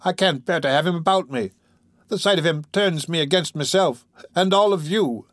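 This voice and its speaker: A man's voice: medium pitch, a little fast, dry recording, clean studio sound, lightly acted. A man voicing a bitter, defiant young woman from a criminal gang in an audiobook, with impassioned delivery. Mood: desperate.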